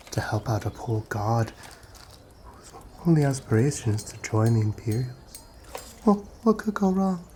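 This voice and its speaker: A man playing a corrupt, wheedling town guard in a fantasy ASMR roleplay, speaking softly and often dropping to a whisper, insinuating and mock-polite. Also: on the low side, a little hiss or hum.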